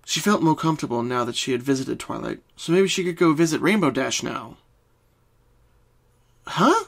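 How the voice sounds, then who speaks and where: A man's voice: mid-range voice, quick pace, creaky. A man reading scripted story narration as a dramatic narrator.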